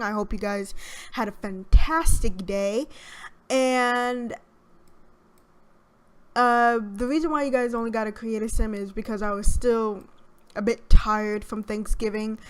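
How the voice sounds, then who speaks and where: A young woman talks slowly and softly at a home microphone, her voice set a little high. She sounds shy and hesitant, rambling through a casual game commentary with many pauses. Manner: soft-spoken and shy. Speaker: gaming YouTuber. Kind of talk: casual let's play commentary.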